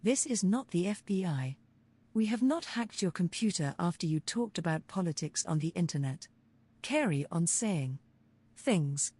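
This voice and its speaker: Text-to-speech woman, british accent, mellow tone, low voice, evenly read viewer messages. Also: moderate pace, a little hiss or hum. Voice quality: computer-generated, even delivery. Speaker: text-to-speech donation reader. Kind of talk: synthetic reading of viewer messages.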